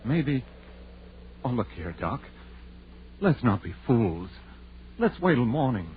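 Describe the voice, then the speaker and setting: Scared superstitious country sidekick, old radio horror, jumpy pitch, kinda slow, tinny old recording. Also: male voice, sing-song, slight background noise. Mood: nervous, reluctant. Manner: folksy, hesitant, stammering. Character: timid, superstitious country sidekick, easily spooked.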